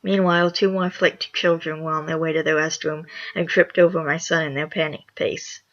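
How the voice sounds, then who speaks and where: A channel host with a raspy, hoarse voice after just waking up, reading online reviews aloud with small stumbles and self-corrections. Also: mid-range voice, level tone, fairly quick, fairly bright tone, highs cut off.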